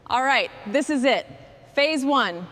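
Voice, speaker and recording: A woman's voice: high-pitched, lively intonation, takes it slowly, fairly bright tone. A woman hosting a vehicle restoration video, speaking short scripted commentary on the work as it goes.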